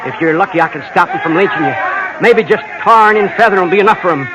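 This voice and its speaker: A man acting an irritable, blustering small-town sheriff with a gruff, blunt rural western American way of talking in an old radio western. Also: high voice, racing, close to an everyday voice. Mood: stern, exasperated. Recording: hissy old radio recording.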